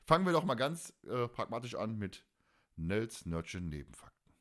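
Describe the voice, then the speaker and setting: Male podcast host, 40s. Animated intonation, enthusiastic, colloquial, chatty delivery. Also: on the low side, normal speed. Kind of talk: casual podcast chat.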